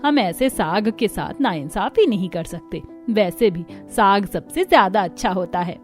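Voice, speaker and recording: Cartoon mother-in-law, played by a woman: dismissive, immovable matriarch, medium pitch, light music. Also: expressive, measured pace, natural voice. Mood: disapproving, firm. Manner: scolding, dismissive. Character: stubborn, disapproving middle-aged mother-in-law. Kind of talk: Hindi cartoon story dialogue.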